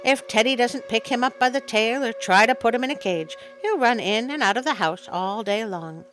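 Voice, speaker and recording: A woman's voice: on the high side, lively intonation, moderate pace, a bit bright, soft background music, voice pushed up, rough recording. A woman voicing an easygoing, matter-of-fact father reassuring his family in a storybook.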